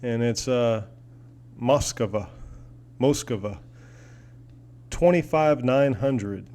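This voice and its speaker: A man's voice: mid-range voice, drawn-out words, mellow. A man chatting casually and enthusiastically in a rambling, folksy way, like a hobbyist showing off gear at home. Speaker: hobbyist video host. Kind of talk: casual product demo and chat. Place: indoors in an apartment. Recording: noisy home recording with audible hum.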